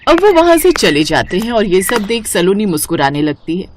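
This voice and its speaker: Female storyteller, mid pitch, lively intonation, scripted narration, faint noise in the background. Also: normal speed.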